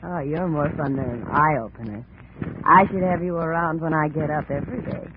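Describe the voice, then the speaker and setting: An actress playing a sardonic, melodramatic wife in a 1940s radio drama, with a theatrical, gushing delivery. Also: female voice, low-pitched, normal speed, close to an everyday voice. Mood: amused, sardonic. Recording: noisy, tinny old radio broadcast.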